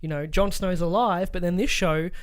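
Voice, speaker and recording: A man with a fairly high-pitched voice talks as a podcast co-host. His delivery is casual and conversational, with swearing and joking riffs on imagined scenes. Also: fairly even intonation, moderate pace, clear, resonant tone.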